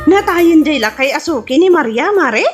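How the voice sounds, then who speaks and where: A woman playing an excitable, judgmental village gossip who exclaims shrilly in a comedy radio drama. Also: high-pitched, moderate pace, over music. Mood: shocked, gossipy.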